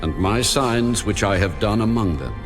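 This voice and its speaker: Casting: God for scripture audio drama, played by a man, deep, commanding, measured delivery over prominent music. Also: expressive, natural voice. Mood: solemn, stern. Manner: grave, measured, authoritative. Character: God, commanding, solemn divine voice.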